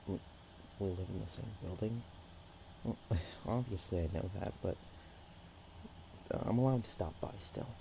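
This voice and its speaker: A man voicing a shy, playful young dragon-dog girl in an ASMR roleplay, speaking softly and haltingly with naive affection. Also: deep voice, vocal fry, noisy background. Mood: shy, affectionate. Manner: hesitant, halting, giggly, soft-spoken. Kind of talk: ASMR roleplay, one-sided intimate chat.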